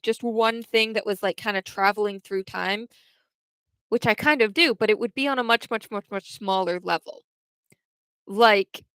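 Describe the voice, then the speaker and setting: A woman's voice: mid-range voice, a bit bright, clear, resonant tone, dry recording. A young woman casually chatting on a livestream, answering viewers' questions in a rambling way full of restarts and fillers. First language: English.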